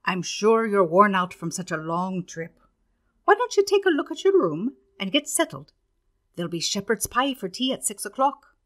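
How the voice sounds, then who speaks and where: A woman's voice: medium pitch, very animated, normal speed, close to an everyday voice. A woman playing a motherly, superstitious Irish innkeeper in a radio play, folksy and emphatic, with an Irish accent. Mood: hospitable, chatty. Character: homely, superstitious Irish woman innkeeper, hospitable but guarded.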